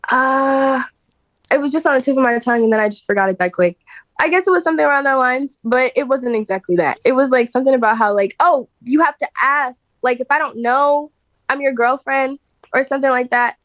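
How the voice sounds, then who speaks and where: A woman in her mid twenties talks about her online content as a guest on a podcast. She is relaxed and loose, fills her talk with little fillers and laughs, and her voice sits a bit high. The recording is dry and close. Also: a little fast, no room sound. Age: 20s. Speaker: guest, social media creator. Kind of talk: casual chat.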